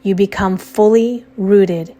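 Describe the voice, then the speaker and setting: Slow-paced woman guiding a meditation. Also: mid-range voice. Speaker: guided meditation narrator. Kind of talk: slow scripted guided meditation. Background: soft background music.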